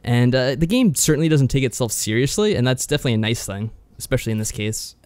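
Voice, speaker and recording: Quick-talking man in a dry-sounding recording. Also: mid-range voice, a little mellow, no room sound. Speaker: podcast co-host. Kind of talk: casual review chat.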